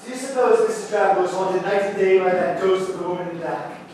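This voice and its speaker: A man on stage playing a shaken, haunted, polite man with halting, formal speech. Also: high voice, fairly even intonation, darkish tone, natural voice. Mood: haunted, pressing. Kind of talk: live stage play acting. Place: indoor theatre stage, recorded from a distance. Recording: echoey theatre stage from far off, faint noise.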